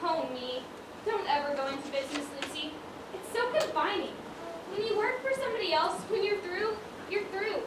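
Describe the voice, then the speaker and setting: A woman's voice: extremely high pitch, animated, normal speed. A woman on stage playing a prim, wistful widowed dress-shop owner, full of old-fashioned exclamations. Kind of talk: amateur stage acting, one-act school play. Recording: roomy stage, distant mic, clear noise.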